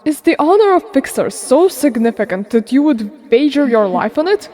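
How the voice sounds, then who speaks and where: A woman's voice: sits high, sing-song, moderate pace, dark tone, long reverb tail. A woman playing a proud blood-fiend father who is weary yet eager for stories, in formal, archaic fandub acting. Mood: indignant. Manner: archaic, formal, theatrical diction. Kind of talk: dramatic game fandub acting.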